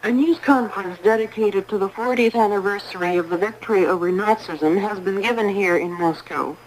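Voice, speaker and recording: Woman newsreader on noisy shortwave radio. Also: mid-range voice. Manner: formal, measured announcer delivery. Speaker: radio news reader. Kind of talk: scripted 1980s shortwave news bulletin.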